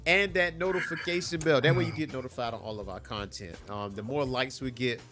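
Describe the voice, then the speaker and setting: Male co-host, bright tone, congested, easygoing rambling talk. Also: mid-range voice, fairly quick, soft background music. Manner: laid-back, rambling, laughs easily. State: stuffy nose from allergies. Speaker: podcast co-host. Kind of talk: casual chat.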